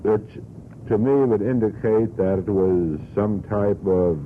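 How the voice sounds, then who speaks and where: A man's voice: fairly low pitch, steady tone, a little slow. A man on an unscripted radio panel, speaking in a formal, wordy, opinionated way on an old broadcast recording. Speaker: radio panelist questioning a guest. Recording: tinny, noisy vintage radio broadcast.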